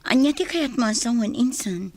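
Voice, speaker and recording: A woman's voice: fairly high pitch, expressive, moderate pace, darkish tone, dry recording. A woman with an Ilocano accent playing a cautious, loyal cousin in a radio drama, asking worried questions.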